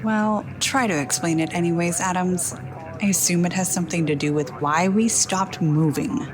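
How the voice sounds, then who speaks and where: A woman's voice: on the low side, fairly even intonation, moderate pace, a crowd in the background, natural voice. A woman playing a firm, no-nonsense Coast Guard captain in an audio drama, authoritative and clipped. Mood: composed.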